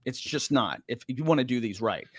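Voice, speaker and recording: A man hosting a podcast, asking his guest questions in a casual, animated way. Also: brisk, no echo, clean studio sound. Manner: animated, questioning, rising pitch when asking. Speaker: podcast host. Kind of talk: casual interview talk.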